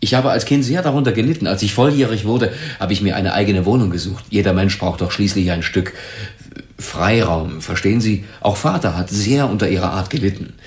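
A man's voice: on the low side, a little fast, reverberant, natural voice. An actor playing a defensive, troubled businessman under questioning in a German crime radio drama, explaining himself earnestly and hesitantly. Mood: subdued, confiding.

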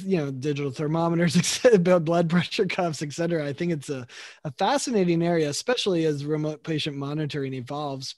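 A man's voice: fairly high pitch, darkish tone, no echo, studio quality. A middle-aged man hosting an interview over a video call, upbeat and friendly. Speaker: host.

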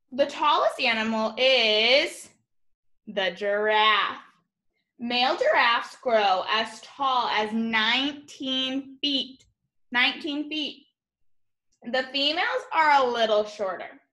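A woman's voice: fairly high pitch, slow pace, bright, forceful tone. A young woman teacher reading a children's nonfiction picture book aloud, expressively, adding informal explanations and exclamations for young listeners. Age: young adult. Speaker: elementary school teacher. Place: room at home, indoors.

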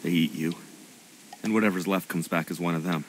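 An actor playing an earnest, bookish and anxious young male survivor in an animated video game. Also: low voice, fairly quick, slight background noise, rough recording. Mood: earnest, matter-of-fact.

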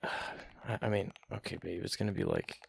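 Deep, gravelly young gamer boyfriend, played by a man. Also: wildly swooping pitch, slight background noise, full character voice. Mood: soft, reluctant, affectionate. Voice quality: deep, gravelly, breathy murmur at times. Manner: halting, sighing, slangy. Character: young gamer boyfriend, reluctant but affectionate. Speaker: voice actor in boyfriend roleplay audio. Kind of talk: ASMR boyfriend roleplay, casual chat.

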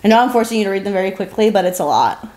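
A woman's voice: mid-range voice, animated, very fast, a little mellow. A young adult woman co-hosting a podcast in casual chat, with informal, loosely phrased conversational delivery. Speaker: podcast co-host. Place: living room, on a sofa.

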